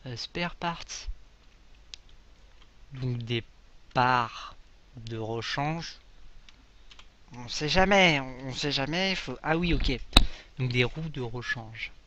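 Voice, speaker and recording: A man's voice: medium pitch, slow pace, long pauses, pushed and bright, highs cut off, a little hiss or hum. A young man casually commenting on a game as he plays, joking and reading the English on-screen text aloud. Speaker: gaming video creator. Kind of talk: casual let's play commentary.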